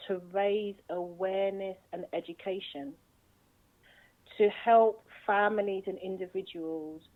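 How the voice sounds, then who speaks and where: A woman answering interview questions in an earnest, measured way, speaking from her own experience as an advocate. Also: medium pitch. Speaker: guest, bipolar advocate. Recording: tinny phone-line sound.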